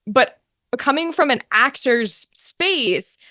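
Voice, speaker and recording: Female interview guest, slightly high voice, casual and expressive, performer's flair, very dry sound. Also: takes it slowly, no echo. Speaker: guest, actor and game master.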